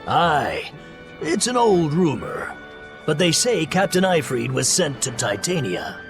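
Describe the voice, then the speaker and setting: Shrewd, animated harbour merchant. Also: male voice, fairly high pitch, unhurried, vocal fry, full character voice. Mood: confiding, wary. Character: shrewd male harbour merchant, pirates' contact. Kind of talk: animated game voice acting. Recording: music behind the voice.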